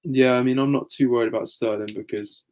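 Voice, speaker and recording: A man of medium pitch speaks with a flat, even intonation. He is a podcast co-host chatting casually about football, matter-of-fact and analytical in how he lays out his points. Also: fast talker. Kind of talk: casual football fantasy league discussion.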